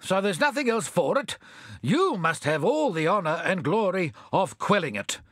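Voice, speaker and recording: A pompous little mayor blusters in a high, loud voice, as a man puts him on. The delivery swoops with lively pitch, and the recording is dry and close. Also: normal speed, dry recording. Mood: blustering. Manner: blustering, stern. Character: pompous bustling little fat male mayor.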